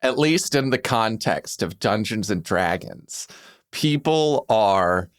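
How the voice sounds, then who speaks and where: A man's voice: on the low side, expressive, slow. A young man chatting casually as a podcast guest. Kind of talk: casual chat.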